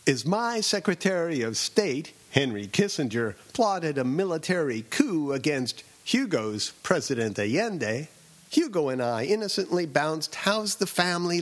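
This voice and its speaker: A middle-aged man from Southern California narrating a scripted personal story as host, with a wry, deadpan delivery and dramatic pauses. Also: fairly high pitch. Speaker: radio essayist and host.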